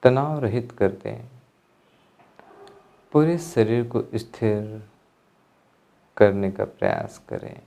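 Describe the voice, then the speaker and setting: A man giving guided meditation instruction, speaking calmly in measured phrases with pauses as an instructor. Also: on the low side, creaky. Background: music.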